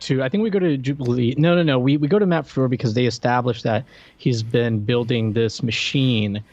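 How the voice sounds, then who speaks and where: A man's voice: mid-range voice, a little fast, darkish tone, dry recording. A man co-hosting a casual film discussion, his voice recorded with dull, lo-fi sound. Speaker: podcast co-host reviewing films.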